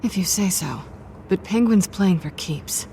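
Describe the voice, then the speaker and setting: A woman's voice: mid-range voice, a little slow, vocal fry, dark tone, a little hiss or hum. A woman playing a cool, self-reliant antiheroine with a sardonic, nonchalant manner in a cinematic video game. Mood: cool, skeptical.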